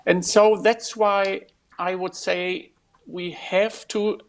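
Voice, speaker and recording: A man's voice: high voice, little pitch movement, slow pace. Blunt man on a panel. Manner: blunt, urging more radical action. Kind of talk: panel discussion.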